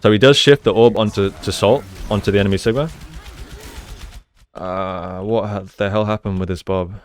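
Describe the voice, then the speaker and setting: A man's voice: fairly low pitch, steady tone, moderate pace. A man giving casual, explanatory gameplay commentary as an esports coach, using gamer slang. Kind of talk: live gameplay commentary and breakdown.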